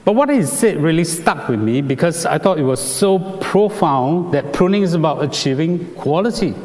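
A man with a Singaporean accent preaching a sermon, explaining scripture in a measured way with occasional fillers. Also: fairly high pitch, expressive, darkish tone, big-room sound. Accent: Singaporean English.

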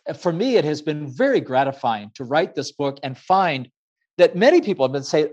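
A man who grew up in Ecuador, speaking as an author and guest in a measured, thoughtful way with many fillers. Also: a little high, big pitch swings, darkish tone, muffled recording. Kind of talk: reflective interview answer.

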